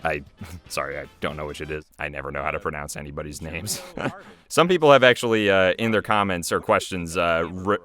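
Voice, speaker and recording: A man's voice: sits low, brisk, fairly bright tone, soft background music. A man with an American accent giving casual, rambling, self-deprecating commentary on his own video. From United States. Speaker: creator and voice actor commentating.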